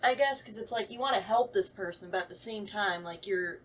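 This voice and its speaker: Female talkback guest, off-mic with faint room ambience, mid pitch, loose conversational delivery that circles its point. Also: fairly quick, fairly bright tone. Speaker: voice actor on a talkback show. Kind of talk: casual chat. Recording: distant mic with clear background noise.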